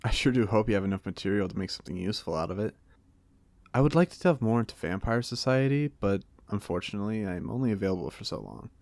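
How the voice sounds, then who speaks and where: A man acts out an ancient but genial British vampire giving an interview in an audio roleplay. His speech is courteous and slightly formal, with lively swings in pitch and a creaky edge. The sound is close and dry. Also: quick pace, no room sound. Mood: cheerful, gracious. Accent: British, put on by an American. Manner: polite, formal turns of phrase, wryly conversational. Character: genial, chatty, courteous centuries-old male vampire being interviewed. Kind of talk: ASMR audio roleplay monologue.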